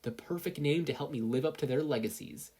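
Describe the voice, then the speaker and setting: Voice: mid-range voice, a little fast, dark tone, breathy edge, pitched above the performer's own voice, close to an everyday voice. A narrator with an American accent voicing a kind, anxious, sincere teenage boy hero in a story reading. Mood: earnest. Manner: sincere, flustered.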